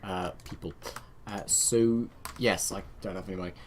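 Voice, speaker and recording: A man's voice: low voice, fairly even intonation, normal speed, darkish tone, slight background noise. A man giving casual let's play commentary, rambling and muttering to himself as he plays, joking at his own expense. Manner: self-mocking, chatty.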